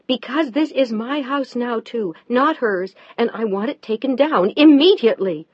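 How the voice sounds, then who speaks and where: A woman voicing a fierce, upset wife, loud, sharp and near tears. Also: a little high, expressive, a little fast, dry recording, lo-fi sound. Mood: angry.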